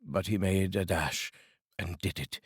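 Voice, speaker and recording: Man, from the United Kingdom, dramatic audiobook narration, low husky voice, dry close recording. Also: a little slow, no room sound. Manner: theatrical and expressive, savouring phrases.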